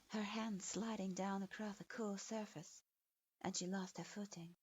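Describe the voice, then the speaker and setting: Slow, husky woman reading a story. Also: medium pitch, darkish tone. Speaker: narrator. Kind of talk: reading fan fiction aloud. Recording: dull lo-fi recording.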